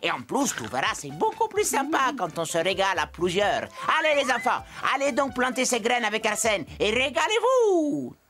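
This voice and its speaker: A woman's voice: a little high, huge pitch swings, normal speed, hardly any pauses, a bit bright. A woman voicing a kindly, exuberant magician in a children's cartoon, theatrical and full of exclamations. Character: kindly, exuberant magician neighbour, casting playful spells. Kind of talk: animated children's cartoon acting.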